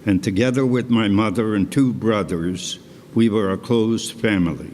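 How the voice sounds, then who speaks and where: An older man born in Poland, speaking English, tells his own life story on stage to a live audience in a slow, deliberate way, with chatty asides. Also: fairly low pitch, a little mellow. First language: Polish. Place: concert hall stage. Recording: live hall recording with long reverb.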